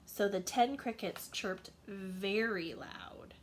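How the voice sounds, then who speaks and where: A woman's voice: mid-range voice, takes it slowly, a little hiss or hum. A woman narrating a children's storybook as a teacher reading to young listeners.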